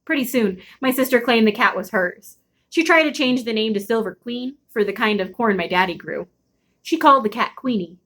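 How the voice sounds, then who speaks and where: A woman reading a children's chapter book aloud expressively, with dramatic pauses and drawn-out emphasis. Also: a little high, a bit bright. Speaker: storybook read-aloud host.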